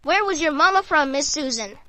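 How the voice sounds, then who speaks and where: Woman as very high, bright, eager little boy. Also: fairly quick, no echo. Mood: curious. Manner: excited, inquisitive, childlike. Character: eager, curious young boy. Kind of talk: radio drama acting.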